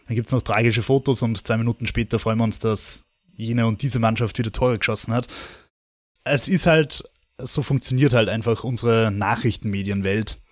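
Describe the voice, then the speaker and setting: A man's voice: medium pitch, fairly even intonation, normal speed, dry recording. A chatty man on a film podcast who retells plots at length and chuckles between remarks. His voice is recorded dry and close, with no room sound. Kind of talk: casual film discussion.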